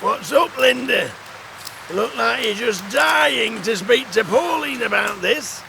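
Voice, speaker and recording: An actor playing a defensive, insistent warehouse worker with a mischievous streak in an audio comedy drama. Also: male voice, extremely high pitch, expressive, a little slow, exaggerated voice. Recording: clear rain and outdoor ambience noise.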